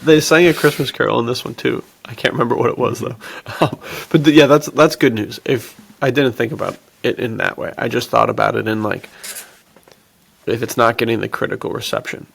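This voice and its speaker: Very gravelly, husky American man chatting. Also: medium pitch, fairly even intonation, fairly quick. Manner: slangy, enthusiastic. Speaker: podcast co-host. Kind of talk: casual movie discussion.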